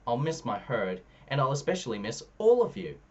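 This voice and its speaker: A man's voice: mid-range voice, very animated, a little fast, dull audio, close to an everyday voice. A man voicing a young male unicorn in a children's storybook, playful and sensitive, with eager exclamations. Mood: wistful. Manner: eager, exclamatory calling and gentle sighing.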